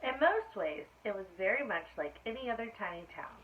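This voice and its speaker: A dull, slightly noisy recording of a woman reading a picture book to young children. Her pitch sits in the middle range for a woman. She tells it expressively, in the manner of a preschool teacher talking to a class. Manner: expressive storytelling, pausing to ask children questions. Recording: dull lo-fi sound with clear background noise.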